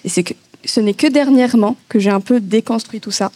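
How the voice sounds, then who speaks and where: A woman's voice: on the high side, brisk, dark tone. A native Arabic-speaking woman from Morocco in casual discussion, hesitant and searching for her words. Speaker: panel participant.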